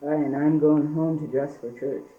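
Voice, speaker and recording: A woman's voice: low-pitched, steady tone, moderate pace, close to an everyday voice. A young teenager, a girl, playing a shrewd, placid village millhand in a school radio play. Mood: matter-of-fact. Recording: muffled, distant amateur recording.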